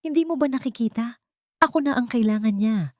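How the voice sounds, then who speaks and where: Woman voicing a cold, taunting mistress, pitched up. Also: lively intonation, fairly quick, a little mellow, no echo, studio quality, natural voice.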